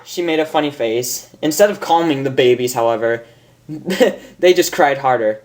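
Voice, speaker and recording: A man's voice: medium pitch, moderate pace, a little mellow. A man narrating a story as an amateur host, informal, stumbling over lines and correcting himself. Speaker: fan fiction reader and host.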